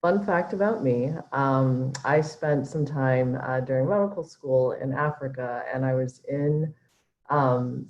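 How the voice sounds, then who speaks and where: A woman's voice: very deep voice, darkish tone. A woman from Alabama introducing herself as a doctor, friendly and upbeat, with many ums. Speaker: gynecologic oncologist. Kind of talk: self-introduction on video call. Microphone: video call. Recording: dull lo-fi video call audio.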